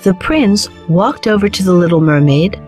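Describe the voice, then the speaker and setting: A woman narrating a children's fairy tale in a measured, clearly phrased storytelling manner. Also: on the low side, lively intonation, a little mellow. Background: background music.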